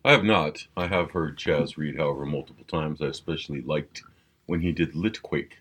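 Male co-host, extremely deep and husky, deliberate, articulate conversational delivery. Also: a bit bright. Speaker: podcast co-host. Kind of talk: casual discussion.

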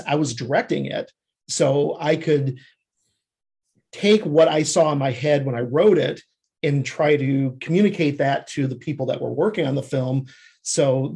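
A man's voice: fairly high pitch, darkish tone, slightly rough. A man talking casually as a screenwriter and director guest on a podcast, often chuckling as he speaks.

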